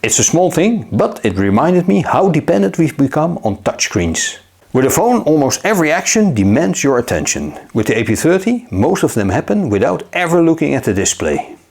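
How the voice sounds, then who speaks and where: A man narrating a scripted gadget review in a measured, upbeat way. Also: a little high, big pitch swings, creaky, darkish tone, breathy edge. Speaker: tech reviewer.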